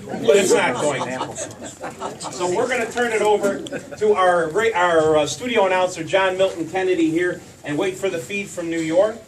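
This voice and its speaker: Casting: male show host, fairly high voice, chatty stage introduction, audible crowd. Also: normal speed. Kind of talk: casual address to live audience. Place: theater stage before live audience. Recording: distant mic, noisy audience room.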